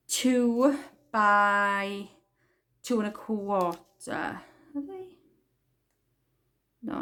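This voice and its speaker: A woman's voice: mid-range voice, fairly bright tone. A woman with a Welsh accent hosting a casual craft tutorial, chatting and thinking aloud as she works at her desk. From Wales. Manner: chatty, rambling, thinks aloud while working.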